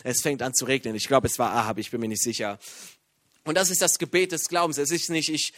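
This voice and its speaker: A man from Iran preaching a sermon in a lively, joking way, with many fillers and restarts. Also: mid-range voice, fast, no room sound, studio quality. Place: church.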